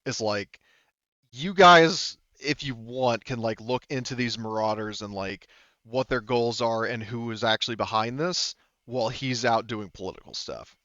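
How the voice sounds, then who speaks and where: A man's voice: medium pitch, moderate pace, bright, forceful tone, dry recording, highs cut off. A man running a tabletop game as game master, improvising the narration casually in a rambling, hesitant way, thinking aloud.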